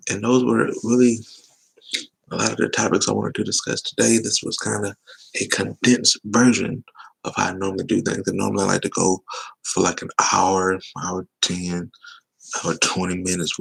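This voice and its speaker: A man chatting loosely and teasingly on a sports talk livestream, with a voice he says is going hoarse. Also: low-pitched, fairly bright tone, low-quality recording. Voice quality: gravelly, husky. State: losing his voice. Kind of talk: casual livestream chat.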